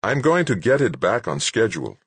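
A man's voice: on the low side, big pitch swings, a little fast, breathy edge, dry recording, pitched above the performer's own voice, full character voice. A man voicing a stubborn, self-absorbed male scientist who protests insistently in an audiobook. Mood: angry, insistent. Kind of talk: acted audiobook dialogue.

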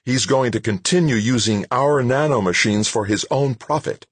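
A man's voice: on the low side, huge pitch swings, unhurried, vocal fry, husky, no room sound, voice pushed up, heavily acted. A man voicing an imperious, impatient woman executive in an audiobook, giving curt, commanding orders. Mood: threatening.

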